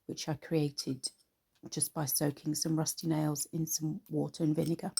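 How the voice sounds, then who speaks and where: A woman talks through a painting tutorial in a relaxed, informal way. Her voice is low and soft-toned, with a gravelly creak and a slight croak left by a cold. She takes her time as the host of the lesson.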